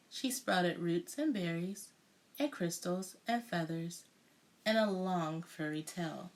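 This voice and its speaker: A woman reading a children's picture book aloud with deliberate, dramatic storytelling delivery. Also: mid-range voice, a little mellow, poor audio. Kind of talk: expressive children's read-aloud narration.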